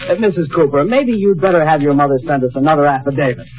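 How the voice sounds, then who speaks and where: A man playing a courteous government clerk in a 1950s radio comedy. His voice is a bit high, with dry amusement under the official politeness. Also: expressive, fast talker, darkish tone, lightly acted, rough recording. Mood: polite, amused. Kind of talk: 1950s radio sitcom acting.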